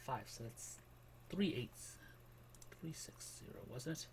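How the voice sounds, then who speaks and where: A man's voice: medium pitch, expressive, slow pace, very noisy. A man hosting a gaming channel, giving casual, muttered and self-deprecating commentary. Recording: loud music behind the voice.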